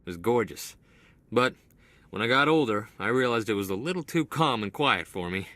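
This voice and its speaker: An actor playing an eager, restless young male corporal in a video game cutscene, talking in an excitable, boyish way. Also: fairly low pitch, moderate pace, no echo. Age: young adult.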